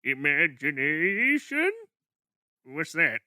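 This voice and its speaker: A man's voice: a little high, sing-song, slow, bright, forceful tone, no room sound, studio quality, heavily acted. A man voicing a tiny, pompous male cartoon villain with grandiose, melodramatic wording, in a comic fan dub. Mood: curious.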